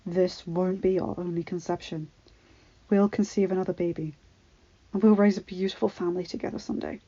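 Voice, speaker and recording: A woman's voice: fairly low pitch, fairly even intonation, a little fast, gravelly, mellow, husky. An earnest young husband and hero, read by a woman speaks with devotion and protective feeling. The delivery is emotional storybook acting, captured on a slightly dull home recording. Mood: consoling.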